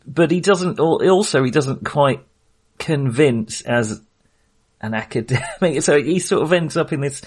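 A man's voice: a little high, measured pace, a little mellow, slightly rough. A man co-hosting a podcast, talking casually and informally in a film discussion. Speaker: podcast co-host.

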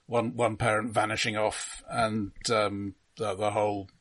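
A man co-hosting a casual film discussion, explaining analytically in a halting way, often repeating words. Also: lowish voice, flat delivery, fairly bright tone. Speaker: podcast co-host.

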